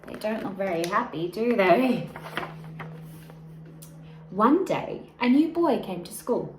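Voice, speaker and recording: A woman reading a children's picture book aloud like a teacher, expressively and deliberately, with emphasis on key words and asides to young listeners. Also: medium pitch, sing-song, a little mellow. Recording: echoey room with faint background noise.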